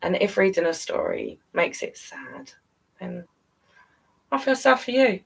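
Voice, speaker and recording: A woman's voice: medium pitch, lively intonation, unhurried, vocal fry, breathy edge, recorded from a distance. A British woman hosting a live storytelling stream, chatting casually and affectionately with her viewers and encouraging them. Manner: affectionate, encouraging, calls listeners darling and guys.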